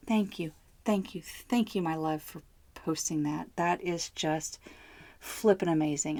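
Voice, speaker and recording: A middle-aged woman hosting her own podcast, hoarse from bronchitis, speaking slowly, heartfelt and candid. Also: fairly low pitch, a little mellow, dry recording. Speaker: podcast host. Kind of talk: solo podcast monologue.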